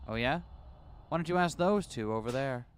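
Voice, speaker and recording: A man's voice: medium pitch, lively intonation, moderate pace, clean tone, lightly acted. A man voicing a cocky, taunting reporter. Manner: taunting, sarcastic. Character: cocky, mocking adult male reporter.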